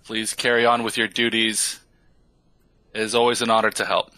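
A man's voice: on the low side, level tone, moderate pace, pushed and bright, no room sound. A man voicing a stiff, formal army colonel in a tabletop game, who speaks of himself as "this one.". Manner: stiff formality, refers to self in third person.

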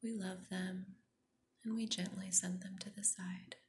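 Slow, whispery woman guiding meditation. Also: mid-range voice, little pitch movement, a little hiss or hum. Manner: soft, breathy near-whisper, soothing and gentle. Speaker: meditation guide, podcast host. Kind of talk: guided meditation.

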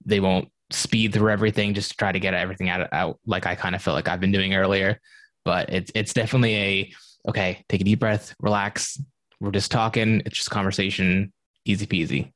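A man on a podcast panel, explaining in a relaxed, practical way how he prepares guests. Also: low voice, brisk, dry recording. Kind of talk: casual panel chat.

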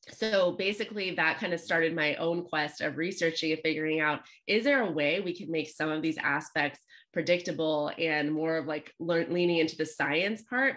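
A woman's voice: low voice, fairly bright tone. A woman from Los Angeles, a relationship coach, telling her story in a chatty, upbeat podcast interview. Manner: chatty, upbeat, frequent fillers.

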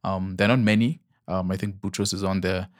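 Low-voiced young man, rambling podcast chat. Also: steady tone, brisk, no echo, clean studio sound. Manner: rambling, many ums and restarts, laughs easily. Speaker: podcast co-host. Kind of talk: casual chat.

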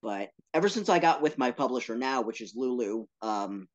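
A man talking as a podcast guest about his work, earnest and animated, with playful asides. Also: mid-range voice, normal speed, dull audio. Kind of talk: casual interview talk.

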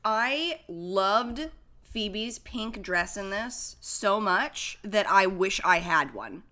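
A woman's voice: mid-range voice, animated, very slow, pushed and bright. A young woman co-hosting a podcast, chatting frankly and giggling, sounding stuffy and sniffly from a cold. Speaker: podcast co-host. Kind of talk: casual chat.

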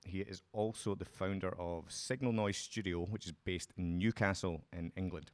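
A man's voice: low voice, normal speed, a little mellow. A man hosting a podcast in a studio, introducing his guest with enthusiasm. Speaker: podcast host. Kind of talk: scripted-sounding guest introduction.

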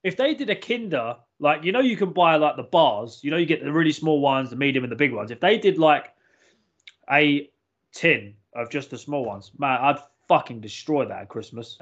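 A man's voice: on the high side, lively intonation, moderate pace, fairly bright tone. An English man co-hosting a podcast, blunt and swearing freely in casual banter. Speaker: podcast co-host. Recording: muffled lo-fi podcast audio.

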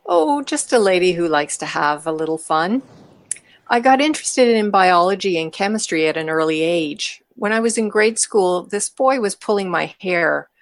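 A woman's voice: lowish voice, animated, moderate pace, no echo. A woman playing a mischievous, eccentric woman chatting with a friend in an audio drama. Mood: wry, storytelling. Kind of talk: amateur audio drama dialogue.

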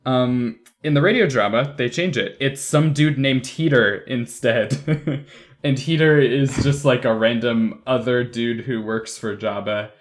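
A man's voice: medium pitch, a little slow. A man chatting informally and bantering with friends as a podcast host, in a casual review discussion. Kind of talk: casual group review chat.

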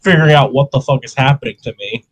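A man's voice: mid-range voice, fast talker. A young man who is sick and coughing, hosting a casual podcast chat in a rambling, profane way. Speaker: podcast host.

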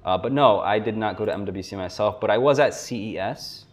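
Young guy from south florida, lowish voice, rambly easygoing podcast guest chat. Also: a little fast. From South Florida, USA. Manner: relaxed, rambling, laughs often. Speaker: podcast guest, tech journalist. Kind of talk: casual conversation.

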